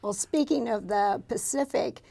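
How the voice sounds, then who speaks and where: Interviewer: American woman with noticeable vocal fry and a mid-range pitch, conversational questioning. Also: measured pace, dark tone. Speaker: interviewer, host.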